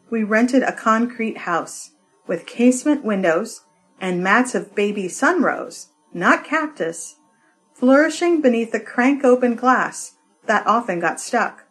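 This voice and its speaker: A woman giving an expressive poetry reading, with deliberate, measured phrasing and pauses. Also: medium pitch. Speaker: poetry reader.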